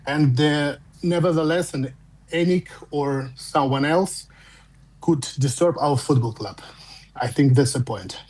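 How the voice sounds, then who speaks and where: Russian-accented man, caller on a fan phone-in, slightly high voice, halting, lots of restarts. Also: a little mellow, poor audio. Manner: halting, repeats words and restarts while searching for English.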